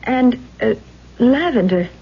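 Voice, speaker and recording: An actress playing a polite, hesitant, easily charmed aspiring actress in an old-time radio drama, with fluttery, stammering delivery. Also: female voice, a little high, lively intonation, slow, vocal fry, a little hiss or hum, full character voice. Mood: hesitant, curious. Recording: dull old radio recording.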